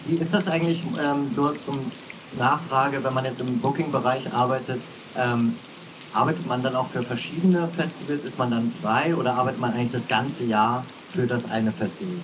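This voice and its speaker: A man's voice: medium pitch, steady tone, moderate pace, noisy background. A man hosting a live podcast panel, speaking casually and loosely as he asks questions. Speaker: podcast host moderating a panel. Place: festival tent in the rain.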